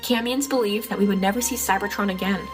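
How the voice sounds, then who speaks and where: A woman voicing an earnest, determined robot heroine in an amateur comic dub, narrating in a reflective, measured way. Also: medium pitch, natural voice. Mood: solemn narration. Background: clear music bed.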